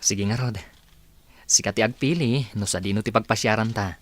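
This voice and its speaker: A man's voice: lowish voice, normal speed, close to an everyday voice. A man playing an easygoing young man chatting with a companion in a radio drama. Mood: relaxed, friendly.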